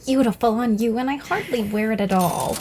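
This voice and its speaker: Actress playing wealthy society woman, audio drama, high voice, gushing, insistent, coaxing, noisy background. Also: fairly quick, a little mellow, lightly acted.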